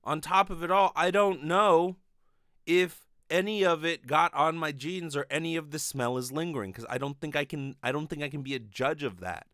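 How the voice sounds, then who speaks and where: A man in his thirties hosting a podcast, delivering an animated, rambling, sweary comedic monologue into a close studio microphone. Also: a little high, moderate pace, no echo. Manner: animated, profane, rambling storytelling. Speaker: podcast host. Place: small bedroom, indoors. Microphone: close desk studio microphone with pop filter.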